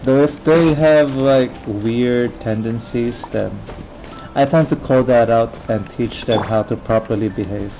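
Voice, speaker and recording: A man's voice: medium pitch, measured pace, dark tone. A man chatting casually on a game livestream, musing and rambling as he goes. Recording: noisy stream with game sounds behind.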